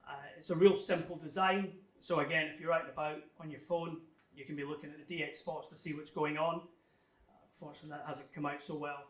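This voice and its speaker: A man's voice: a little high, flat delivery, brisk. A man giving an informal technical slide presentation to a club, explaining things in a conversational, somewhat hesitant way. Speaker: amateur radio club guest speaker. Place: indoor room, club talk to an audience. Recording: echoey room, distant microphone.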